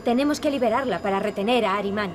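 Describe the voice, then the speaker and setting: An actress with an accent from Spain playing a determined, earnest young princess with dry wit for a video game. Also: female voice, mid-range voice, fast, background noise, close to an everyday voice. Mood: grave, resolute. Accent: Spanish from Spain. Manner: earnest, resolute. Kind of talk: dubbed adventure game dialogue. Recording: music behind the voice.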